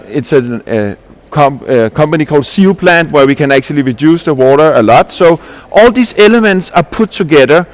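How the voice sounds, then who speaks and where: A man from Denmark, giving an earnest conference presentation as a landscape architect, explaining ideas with restarts and rhetorical questions. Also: fairly high pitch.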